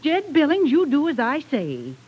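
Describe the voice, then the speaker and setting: An actress playing a spirited, bossy middle-aged housewife with an emphatic manner in an old radio drama. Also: female voice, high-pitched, wildly swooping pitch, normal speed. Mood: indignant. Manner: emphatic, sharp-tongued. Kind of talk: 1940s radio drama acting.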